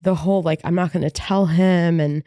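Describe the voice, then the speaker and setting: A woman's voice: fairly low pitch, steady tone, normal speed, dark tone, no room sound, studio quality. A woman in her thirties co-hosting a podcast, chatting casually with frequent rambling and self-amused asides. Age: 30s. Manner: chatty, rambling, laughs at herself. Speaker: podcast co-host. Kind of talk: casual recap chat.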